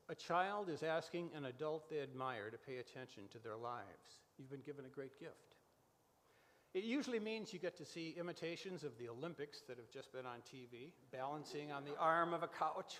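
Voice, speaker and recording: A man's voice: on the high side, fairly bright tone. A man in his sixties hosting a live show, with a folksy storyteller's delivery, in a large church room. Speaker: host of a church radio variety show. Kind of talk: live interview and scripted reflective monologue. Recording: echoey hall with long reverb.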